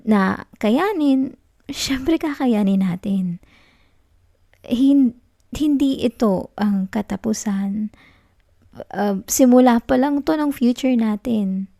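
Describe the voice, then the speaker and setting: A young woman with a Filipino accent playing a clingy, tender, anxious student girlfriend in an intimate ASMR roleplay, speaking in a soft, coaxing, halting way. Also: on the high side, vocal fry, no echo, clean studio sound, exaggerated voice. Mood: pleading, devoted.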